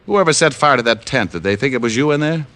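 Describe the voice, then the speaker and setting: An actor playing a tough, wry private detective with an American accent and clipped, needling delivery in an old radio crime drama. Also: male voice, mid-range voice, expressive, fast talker, fairly bright tone. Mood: hard, accusing. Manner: wry, needling, clipped hard-boiled talk. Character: tough, wry male private detective, hard-boiled narrator. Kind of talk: 1940s-50s radio detective acting and narration. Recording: old radio recording, music behind.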